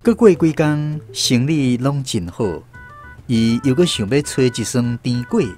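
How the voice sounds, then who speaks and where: A man's voice: mid-range voice, unhurried, a little mellow, faint music behind. A man with a Taiwanese accent reading scripted story narration for a radio drama.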